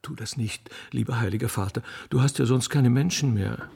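A man voicing a deferential cherub, speaking gently and reverently in a hushed way, in a theatrical radio play. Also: medium pitch, normal speed, creaky, breathy edge, big-room sound, voice pushed down. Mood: soothing. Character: soothing, deferential male cherub attending an aged God.

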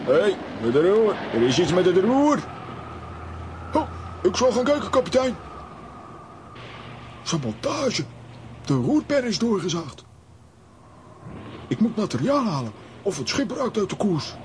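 A man's voice: high voice, very animated, a little slow, pauses often, darkish tone, slight background noise. A man playing a hot-headed, blustering comic adventurer in a children's radio play, theatrical and exclamatory. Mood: alarmed.

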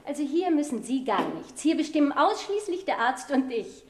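An actress playing a resolute, bossy elderly hospital nurse, curt and commanding, in a 1970s German radio crime drama. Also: female voice, high-pitched, big pitch swings, normal speed, roomy sound. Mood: stern.

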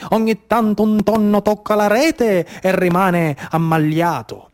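A man's voice: high-pitched, fairly even intonation, unhurried, a little mellow, voice pushed up. Male actor as Sicilian tonnara diver, raised voice, incantatory folk declamation to his crew, radio theatre. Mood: rapt, incantatory. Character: Nanai, tuna-fisherman diver declaiming underwater marvels to crew.

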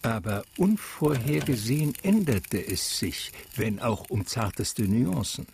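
Male narrator, medium pitch, expressive literary prose reading, slight background noise. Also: a little slow, gravelly. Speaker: narrator of a radio drama.

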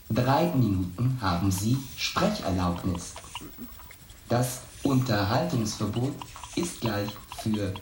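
A polite artificial co-pilot in a science fiction radio play. The voice is slow and deliberate, with formal announcements that echo over a bed of music. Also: male voice, fairly low pitch, a little mellow. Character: onboard computer co-pilot AI, polite, measured, formal. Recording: echoey, music underneath.

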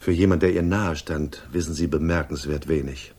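An actor playing a gruff, sardonic police inspector in a German radio crime drama, questioning with dry authority. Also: male voice, a bass voice, steady tone, moderate pace, a little mellow. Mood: stern, superior.

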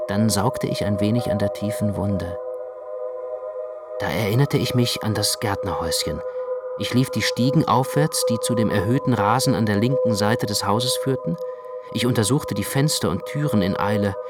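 An actor playing a detached, reflective first-person narrator in a literary radio play, measured and precise in his telling. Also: on the low side, natural voice. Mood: grave narrating. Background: music.

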